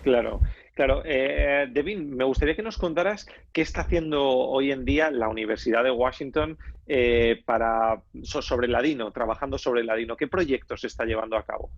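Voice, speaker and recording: A man's voice: mid-range voice, normal speed. A man with a mid-range voice, asking his questions politely and a little hesitantly. The sound is dull and muffled, with the top end missing. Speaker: interviewer.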